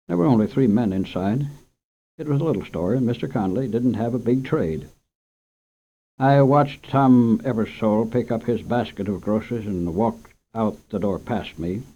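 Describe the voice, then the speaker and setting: A man's voice: lowish voice, mellow. Man reading a children's story on old radio. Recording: muffled old radio recording.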